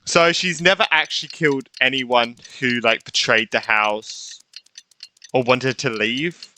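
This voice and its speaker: A man's voice: mid-range voice, unhurried, pushed and bright, slight background noise. A man streaming a video game, giving casual commentary as he plays. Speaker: gaming streamer playing through a story quest.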